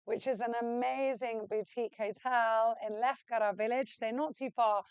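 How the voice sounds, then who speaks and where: A woman hosts a podcast and asks her questions in an enthusiastic, upbeat way. Her voice sits fairly high and sounds smooth and clear. The room is dry, with little echo. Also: steady tone, moderate pace. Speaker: podcast host, hotelier. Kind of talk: casual podcast interview.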